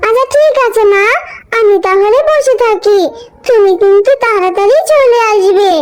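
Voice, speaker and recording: A woman's voice: extremely high pitch, moderate pace. A woman voicing an anxious, affectionate little girl in a cartoon, with a pleading, childlike delivery. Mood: fearful, pleading. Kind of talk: Bengali cartoon fairy-tale dialogue.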